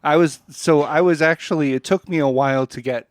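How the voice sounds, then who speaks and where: A man co-hosting a casual chat show, talking haltingly and repeating words as he thinks aloud. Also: a little high, no room sound, clean studio sound. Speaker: podcast co-host introducing a radio play.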